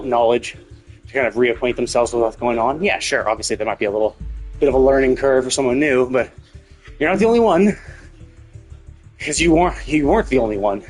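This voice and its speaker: Male game streamer, mid-low everyday pitch, casual, rambling, opinionated, faint music behind. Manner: opinionated, rambling, repeatedly checking with "right?". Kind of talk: casual live-stream commentary.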